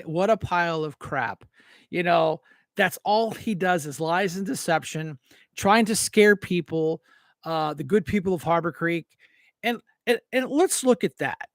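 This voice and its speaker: Male American political talker, high-set voice, combative and plainspoken, informal delivery, very dry recording. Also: no echo. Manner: blunt, combative, folksy, repeats phrases for emphasis. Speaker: talk show host and local political candidate. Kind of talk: opinionated political rant, informal and off-the-cuff.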